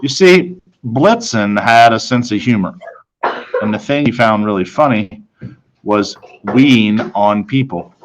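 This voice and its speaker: A man reading a children's storybook aloud in a dramatic manner, with deliberate pauses. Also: lowish voice. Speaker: storybook reader.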